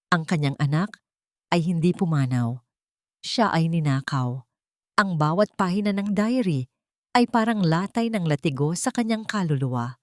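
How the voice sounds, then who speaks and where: Woman, audiobook narrator, lowish pitch, solemn, measured, dramatic storytelling, dry close sound. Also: darkish tone, dry recording.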